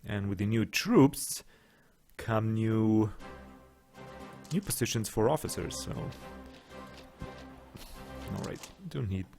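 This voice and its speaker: A man's voice: fairly low pitch, slow pace, creaky, long pauses, darkish tone, soft background music. A young man, not a native English speaker, casually commenting on his strategy game as he plays, thinking aloud and joking with his units. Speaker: let's play host.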